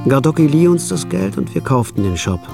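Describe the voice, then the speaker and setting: A man's voice: on the high side, very animated, brisk, creaky, music in the background. Dry, hard-boiled male narrator. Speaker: narrator and protagonist. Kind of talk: first-person radio drama narration.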